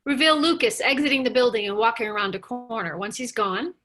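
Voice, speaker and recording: A woman reading screenplay stage directions aloud as the narrator of a table read, with animated storytelling. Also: fairly high pitch, brisk.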